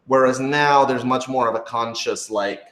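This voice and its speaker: Guy hosting a casual q&a, rambles and hedges, mid pitch, roomy echo. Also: moderate pace, fairly bright tone. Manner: rambling, hedging, thinking aloud. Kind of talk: casual group chat. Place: indoor office used for rehearsals. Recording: echoey room.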